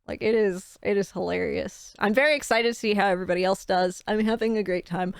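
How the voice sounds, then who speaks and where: A woman's voice: medium pitch, a little fast, creaky, smooth, no echo, clean studio sound. A woman game master chatting on a livestream in a bubbly, scattered way. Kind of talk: casual livestream chat.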